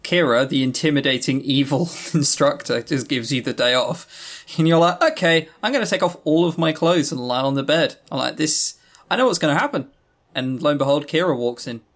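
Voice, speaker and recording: A man's voice: on the high side, lively intonation, fairly quick, a bit bright. A man co-hosting a podcast in casual chat, with a wry, joking, teasing way of talking. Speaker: podcast co-host. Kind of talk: casual chat reviewing visual novels.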